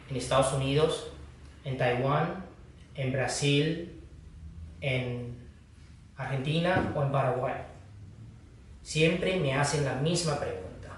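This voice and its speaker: A man who is a Buddhist teacher, giving a dharma talk in a slow, halting manner with pauses and fillers. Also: medium pitch, slight background noise. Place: hall of a foundation. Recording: echoey room, distant mic.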